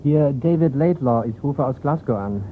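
An actor playing a courteous, persistent insurance investigator in a radio crime play. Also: male voice, medium pitch, animated, normal speed, lightly acted. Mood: polite. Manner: courteous, probing. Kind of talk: German radio drama acting. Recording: tinny, noisy radio recording.

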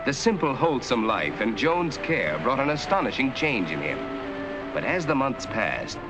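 A man giving scripted 1940s film narration in a measured storytelling way. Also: medium pitch, very animated, poor audio. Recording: old film soundtrack with loud music.

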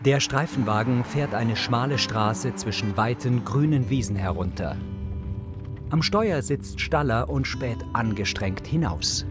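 A man's voice: medium pitch, a little slow. Man narrating a TV drama, music underneath. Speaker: audio description narrator. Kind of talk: scripted narration, audio description.

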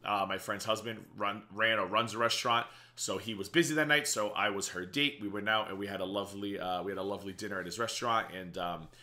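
A man in his thirties hosting a casual reaction video from a small home office, slightly raspy, chatty and sarcastic. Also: on the low side, pushed and bright. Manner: chatty and sarcastic, quick asides. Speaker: reaction channel host.

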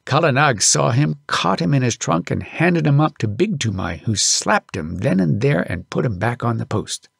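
Expressive, gravelly male storyteller. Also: medium pitch, normal speed, few pauses, no room sound, studio quality. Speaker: audiobook narrator.

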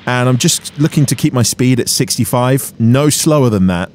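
A man's voice: mid-range voice, expressive, normal speed, a little mellow, a little hiss or hum. An Englishman in his thirties, a pilot vlogging about flying in a matter-of-fact way. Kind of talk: vlog narration and cockpit talk. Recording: faint engine drone behind.

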